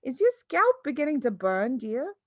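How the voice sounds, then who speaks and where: A woman's voice: high-pitched, huge pitch swings, moderate pace, no echo, studio quality, voice pushed up, exaggerated voice. A woman voicing a scornful, nagging mother in a storybook, shrieking and scolding her husband.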